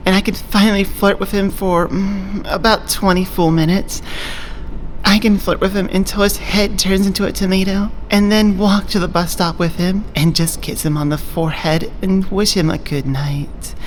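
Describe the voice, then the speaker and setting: A woman playing a confident, dominant elf coworker who flirts adoringly, soft-spoken in a close-miked ASMR romance roleplay. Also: medium pitch, a little hiss or hum, close to an everyday voice. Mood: flustered, giddy. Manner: teasing, doting, self-assured. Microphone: close binaural studio microphone.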